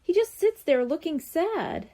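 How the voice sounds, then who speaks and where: A woman's voice: extremely high pitch, lively intonation, measured pace, warm tone, pitched above the performer's own voice. A woman voicing an earnest, eager young schoolboy in a children's audiobook.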